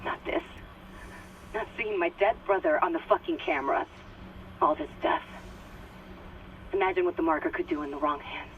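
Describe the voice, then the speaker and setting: A woman's voice: lowish voice, normal speed, pauses often, highs cut off, lightly acted. A woman playing a tense, cynical and shaken crew member in a video game, edgy and confiding. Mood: bitter, grieving. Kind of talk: dramatic video game acting. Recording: distant voice in heavy noise.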